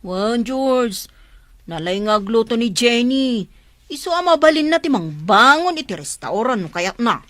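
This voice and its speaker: High, dry-recorded inquisitive Ilocano friend woman acting. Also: animated, unhurried, a bit bright, no echo. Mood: sociable. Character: sociable, inquisitive young woman, supportive confidante friend. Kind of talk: Ilocano audio drama acting.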